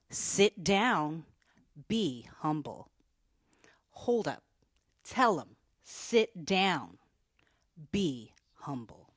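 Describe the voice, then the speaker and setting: Slow, theatrical woman in a dry room. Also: sits low, no room sound. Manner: theatrical, deliberate. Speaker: news reporter reading lyrics. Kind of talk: dramatic spoken-word reading.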